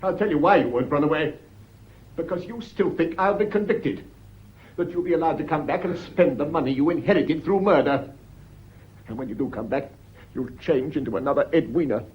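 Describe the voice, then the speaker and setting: A man's voice: on the high side, brisk, breathy edge. A man playing an anxious, cornered British schoolmaster in a radio drama, intense and theatrical. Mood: bitter, menacing. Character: anxious, cornered schoolmaster, bitter secret lover under suspicion. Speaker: actor in a radio play. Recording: dull, band-limited radio drama recording.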